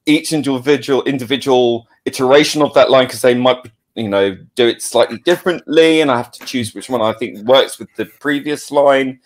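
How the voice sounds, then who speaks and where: Man chatting enthusiastically, halting as he goes. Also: medium pitch. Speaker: audio drama producer and sound editor. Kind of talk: casual interview chat.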